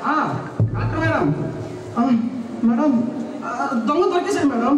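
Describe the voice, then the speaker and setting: A man's voice: very high-pitched, unhurried. A man playing a deferential, scheming police officer in a college stage play, with a wheedling theatrical delivery. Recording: echoey auditorium, distant mic, music, audience noise.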